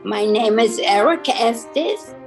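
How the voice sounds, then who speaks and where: Gravelly-voiced man in his nineties. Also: extremely high pitch, lively intonation, soft background music. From Germany. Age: 90s. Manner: slow, careful recital of dates and names. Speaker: Holocaust survivor lighting a memorial candle. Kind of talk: solemn personal remembrance.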